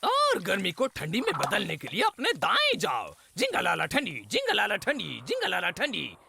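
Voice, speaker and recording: Playful cartoon instructor, sharp bright tone, wildly swooping pitch, raspy, sing-song. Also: male voice, wildly swooping pitch, moderate pace, heavily acted. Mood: playful instructing. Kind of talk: Hindi cartoon dub.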